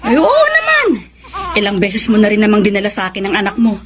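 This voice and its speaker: A woman's voice: mid-range voice, huge pitch swings, fast. A woman playing a friendly, obliging neighbour with an exclaiming manner in a Filipino radio drama. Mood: cheerful. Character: welcoming, obliging older-sister-like neighbour woman. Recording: noisy radio drama audio.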